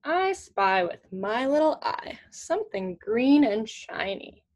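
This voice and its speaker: Young woman reading slowly to children, lively pitch. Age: young adult, 20s. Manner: slow, simple, teacherly phrasing with questions. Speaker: student reading a picture book aloud to children. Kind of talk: children's read-aloud with questions. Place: small indoor room at home with shelves.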